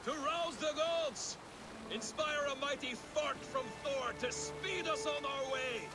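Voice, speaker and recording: A man's voice: extremely high pitch, takes it slowly, over loud music. An actor playing a crude, jovial Viking boat crewman in a video game, boisterous and mocking. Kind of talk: video game cinematic acting.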